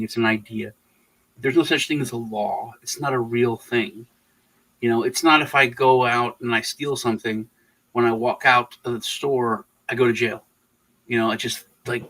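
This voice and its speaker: A man from Texas who grew up in Germany talks through politics on a livestream. His voice sits at an ordinary middle pitch, and his speech is loose and rambling, full of restarts and self-corrections. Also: a bit bright, slightly rough, low-quality recording. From Texas, raised in Germany. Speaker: livestream host. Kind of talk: casual political chat.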